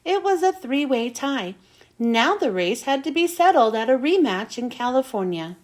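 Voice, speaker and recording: A woman reading a children's storybook aloud as an expressive narrator. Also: high voice, moderate pace. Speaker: storybook reader.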